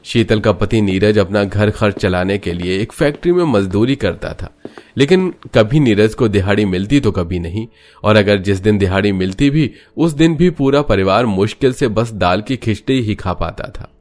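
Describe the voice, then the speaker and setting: A man's voice: lowish voice, lively intonation, normal speed, a little mellow. A man giving scripted narration for a cartoon moral story, as the narrator.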